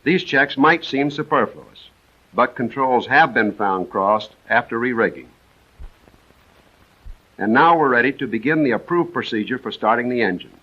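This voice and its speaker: An American man narrating a 1940s military training film in a measured, precise, instructional manner. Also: mid-range voice, animated. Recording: dull vintage 1940s film soundtrack.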